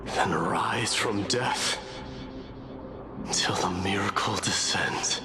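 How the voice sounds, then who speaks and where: An unyielding, fatalistic warrior lord from a game. His voice is fairly low and his delivery slow, grand and archaic. Clear music plays behind him. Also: whispered. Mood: stoic. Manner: grand, archaic, measured, commanding. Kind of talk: scripted video game voice acting.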